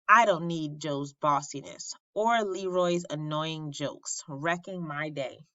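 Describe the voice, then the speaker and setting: A woman with a bright, forward voice reads a storybook aloud at an unhurried pace. She stresses key phrases and leaves dramatic pauses. The recording is slightly dull and lo-fi. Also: on the low side, no room sound. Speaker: storybook reader at an elementary school. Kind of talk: expressive read-aloud of a children's story.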